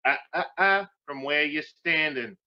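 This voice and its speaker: A man's voice: a little high, measured pace, lo-fi sound, close to an everyday voice. A man playing a smug, sneering gangster with a sarcastic, mocking drawl in a vintage-style radio drama. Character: smug, sneering male gangster, menacing wise guy.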